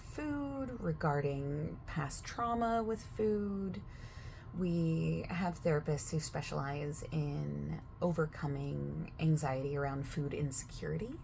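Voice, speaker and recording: A woman in a soft-spoken roleplay as a gym receptionist, overexplaining the options in a chatty way and sometimes dropping to a whisper. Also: low-pitched, creaky, slight background noise. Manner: chatty, overexplaining, with filler words; drifts between soft speech and whispers.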